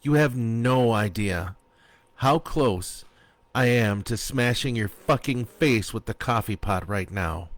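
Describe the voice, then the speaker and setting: A man's voice: medium pitch, lively intonation, slow pace, dry recording. A man voicing a furious foul-mouthed wife. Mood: seething. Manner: harsh, cursing, threatening.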